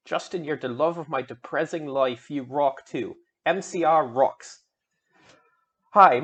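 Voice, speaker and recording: Man playing an overwrought, husky teenage goth vampire girl. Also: medium pitch, a bit bright. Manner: overwrought, emphatic, petulant. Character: melodramatic seventeen-year-old goth vampire schoolgirl narrator. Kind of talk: dramatic fan fiction reading.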